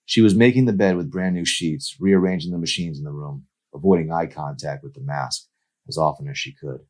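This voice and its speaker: A man with a deep voice reads fiction aloud as its author. His delivery is expressive, in the manner of an audiobook narrator. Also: brisk. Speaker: author reading his own novel.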